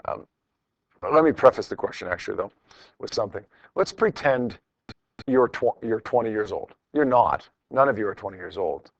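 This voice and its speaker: A man's voice: on the low side, expressive, moderate pace, no room sound. Male teacher, slightly dull recording. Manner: informal, encouraging, repeats phrases for emphasis. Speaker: high school physics teacher. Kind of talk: classroom lecture, working through problems with students. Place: classroom with students.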